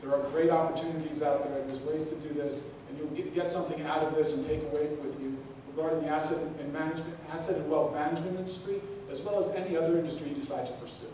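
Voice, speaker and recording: A man's voice: a little high, fairly even intonation, fast talker, few pauses, mellow. A man born in Portugal, moderating a student event and speaking earnestly, often tagging his points with "right?". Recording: echoey room, distant mic, faint noise.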